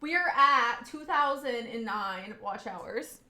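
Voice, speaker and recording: A woman's voice: a little high, lively intonation, slow pace, bright, forceful tone, roomy sound. A young woman with a Southern US accent, chatting casually with her live audience as a streamer and laughing often mid-sentence.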